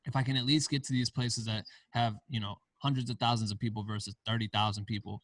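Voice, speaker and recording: A man's voice: mid-range voice, fairly even intonation, fast, a bit bright, no room sound, far from the mic. A man from Iowa, a podcast guest, telling stories in a laid-back way with casual slang. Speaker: podcast guest, film director and founder. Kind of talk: casual interview chat.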